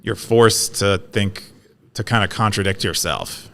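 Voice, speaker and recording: A man's voice: on the low side, unhurried, a bit bright, slight background noise. A man from California in a casual talk, explaining an idea with many fillers, restarts and hesitations. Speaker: podcast co-host. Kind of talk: casual conversational discussion.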